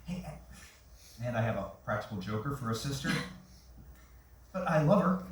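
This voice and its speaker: Actor as frazzled writer, swooping voice, echoey stage. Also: male voice, mid-range voice, animated, normal speed, slight background noise, lightly acted. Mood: awkward. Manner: stammering, exasperated, awkward. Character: frazzled, self-deprecating male struggling fiction writer. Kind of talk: staged reading of a one-act play. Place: theatre stage, recorded from the audience. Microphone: far camera. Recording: very echoey theatre, distant shaky camera.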